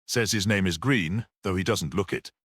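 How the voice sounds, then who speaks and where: A man voicing a brisk, sceptical police detective in a mystery audiobook, businesslike and questioning. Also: deep voice, fairly bright tone, no room sound, studio quality. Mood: puzzled.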